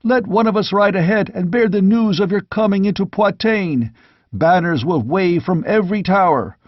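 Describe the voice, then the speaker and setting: A fervent, devoted knight, as a male narrator acts him out in a fantasy audiobook. His voice is lifted high and exclamatory, ringing with devotion to his king. The recording is close and slightly dull. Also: normal speed, dry recording, close to an everyday voice. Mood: eager devotion. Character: excited young knights hailing their lost king, loud, fervent.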